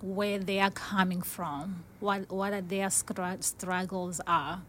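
A woman from Africa, a social worker answering a guest's questions in earnest, simple, halting sentences. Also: mid-range voice, level tone, a bit bright. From South Africa.

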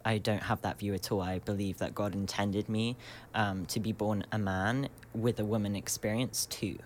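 A man in his twenties, a radio guest, speaking reflectively and searchingly with many pauses and soft laughs. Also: on the low side, steady tone, a little hiss or hum. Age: 20s. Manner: searching and thoughtful, many ums, laughs often. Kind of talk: reflective radio interview talk.

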